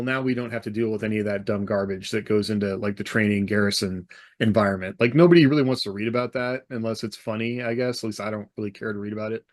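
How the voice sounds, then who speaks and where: A man's voice: on the low side, no echo, clean studio sound. A man who co-hosts a podcast as a military veteran and writer, talking in a casual conversational style.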